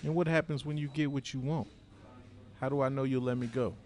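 A man's voice: mid-range voice, steady tone, a little fast, darkish tone, slight background noise, natural voice. A man playing a cornered fugitive informant in an audio drama, speaking in a bitter, curt, streetwise and profane way. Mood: bitter defiance. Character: defiant, cornered male fugitive turned reluctant informant. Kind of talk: scripted audio drama dialogue.

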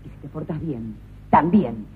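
A cruel, sadistic prison director, barking scorn and contradicting everything. The pitch swings widely and the sound is thin and phone-like. A faint hiss sits underneath. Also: female voice, expressive, a little slow. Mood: domineering. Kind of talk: comic radio play acting. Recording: tinny lo-fi radio recording.

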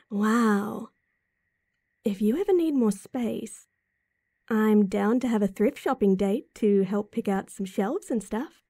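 A woman's voice: medium pitch, takes it slowly, dark tone, no room sound. A woman playing a sweet, supportive, teasing girlfriend in an intimate roleplay audio drama, talking affectionately to the listener. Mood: impressed, excited. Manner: affectionate, teasing, breathy chuckles and sighs. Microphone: close mic, dry.

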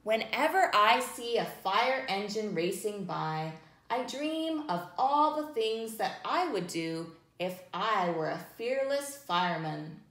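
A woman in her thirties hosting a children's storytime, reading aloud in simple, deliberate phrases and putting questions to young listeners. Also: mid-range voice, animated, a bit bright. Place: small room indoors, near a plain wall. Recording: roomy indoor echo.

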